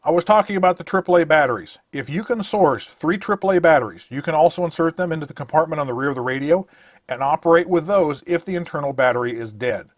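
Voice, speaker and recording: A man's voice: a little high, fast, no room sound, clean studio sound. A man narrating a scripted product review, listing features in a methodical, matter-of-fact way.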